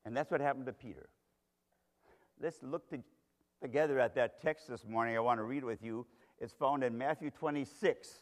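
A man's voice: medium pitch, moderate pace. A man preaching a sermon to a congregation, telling the story dramatically and with strong emphasis. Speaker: pastor. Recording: long hall reverb.

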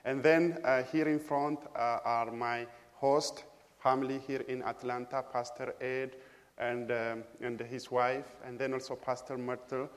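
Ethiopian male guest preacher, mid pitch, measured and halting, reverberant church sanctuary. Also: flat delivery. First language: Amharic. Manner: measured, halting with frequent pauses and fillers. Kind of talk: sermon.

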